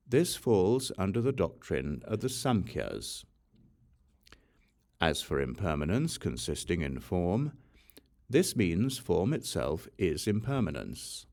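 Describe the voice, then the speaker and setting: A man narrating an audiobook of scripture, reading from a script in a measured, solemn way with deliberate pauses. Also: sits low, a little mellow. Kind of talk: scripted reading of a Buddhist sutra.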